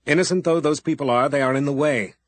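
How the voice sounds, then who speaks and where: A man's voice: fairly high pitch, fast talker, voice pushed up, close to an everyday voice. A man voicing a stern, powerful male wizard with firm, weighty speech.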